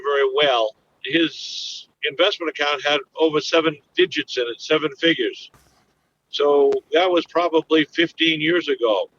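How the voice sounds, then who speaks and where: A plain-spoken man answering interview questions in a calm way. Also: a little high, far from the mic, lo-fi sound, a little hiss or hum. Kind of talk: recorded interview.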